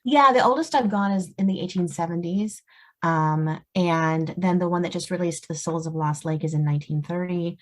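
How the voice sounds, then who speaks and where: Low-pitched woman in casual interview. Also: normal speed. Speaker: guest novelist.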